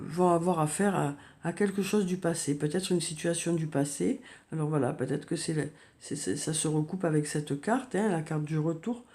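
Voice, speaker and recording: A woman with a cold giving a tarot reading, talking softly and hesitantly with many fillers and repeated words. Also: fairly low pitch. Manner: soft, hesitant, frequent "hein" and "euh", repeats words. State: has a cold, coughing. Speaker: tarot card reader. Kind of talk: monthly horoscope card reading.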